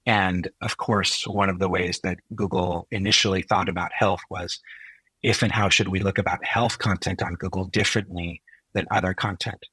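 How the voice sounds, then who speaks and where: Middle-aged male physician guest, low husky voice, unhurried and reflective, dry close sound. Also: fairly even intonation, sharp tone, dry recording, poor audio. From Chile. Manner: thoughtful, unhurried, often pausing to find words. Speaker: interview guest, physician and technologist. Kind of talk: reflective career storytelling.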